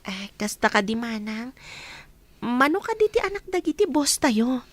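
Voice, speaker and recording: A high, girlish-pitched young housemaid played by a woman with an Ilocano accent. She is polite, eager and full of questions, in the manner of a village radio comedy. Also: sing-song, moderate pace, dry recording. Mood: curious. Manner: polite, inquisitive. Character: young female new housemaid from the province, polite, curious, eager.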